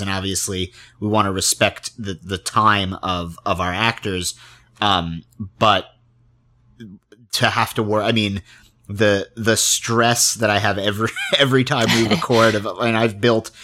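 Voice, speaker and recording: A man with a fairly low voice speaks as a podcast interviewer in relaxed conversation. He thinks aloud haltingly, often doubling back on his words before finishing a point. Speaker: interviewer, audio drama podcaster.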